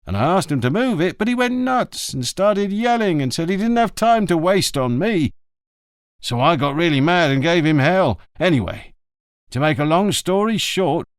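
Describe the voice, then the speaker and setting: A quarrelsome old shopkeeper from a storybook, indignant and quick to curse, as the narrator puts him on. His voice sits well above a normal speaking pitch, with a strained, faraway timbre and lots of swing. It is recorded dry and close. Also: no echo. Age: older. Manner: indignant, swearing, sarcastic. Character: gruff elderly male greengrocer, quarrelsome. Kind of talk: audiobook character voice.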